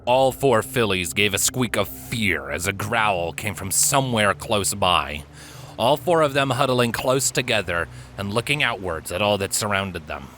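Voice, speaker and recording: A man reading a story as an audiobook narrator, with dramatic, suspenseful and deliberate phrasing. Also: on the low side, lively intonation, pushed and bright, a little hiss or hum.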